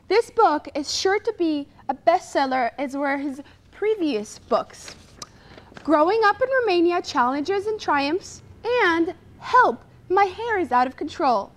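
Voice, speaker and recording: A woman playing a perky talk show host, introducing and questioning a guest in a showy, bubbly way. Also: high-pitched. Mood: gushing. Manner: bubbly, showy announcer delivery. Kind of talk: parody talk show interview.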